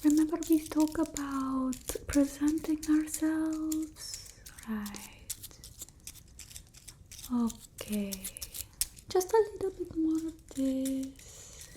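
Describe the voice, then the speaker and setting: A woman's voice: high-pitched, very slow, pauses often, warm tone, slight background noise. A woman voicing a haughty, fussy but doting vampire mistress in a whispered ASMR roleplay, with a put-on Eastern European accent and an imperious, teasing murmur. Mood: instructing, fussy.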